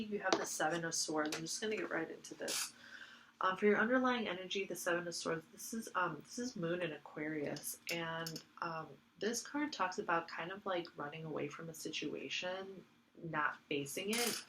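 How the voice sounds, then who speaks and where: A woman's voice: on the low side, noisy background. A woman giving a casual tarot reading, congested from allergies, rambling with lots of fillers and repeating herself. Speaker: tarot reader.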